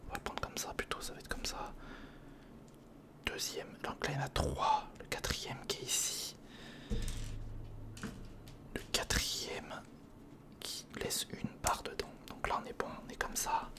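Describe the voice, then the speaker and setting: A man's voice: very high-pitched, wildly swooping pitch, unhurried, long pauses. Whispering man, casual ASMR muttering. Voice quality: breathy whisper. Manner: informal, muttering to himself and the viewer, mildly swearing. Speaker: ASMR video creator. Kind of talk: whispered ASMR, casual running commentary while building.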